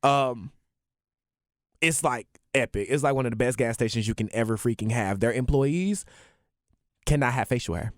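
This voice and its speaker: A man co-hosting a podcast in casual chat, animated and passionate, often laughing. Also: mid-range voice, moderate pace, pauses often, no room sound, studio quality. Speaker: podcast co-host.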